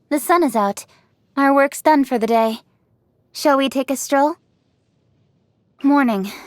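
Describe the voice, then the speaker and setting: An actress voicing a driven, confident young woman official in a video game, speaking in a brisk, forthright way. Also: fairly high pitch, dry recording, clean studio sound, close to an everyday voice. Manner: brisk, forthright and self-assured. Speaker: game character voice lines. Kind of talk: game voice-over.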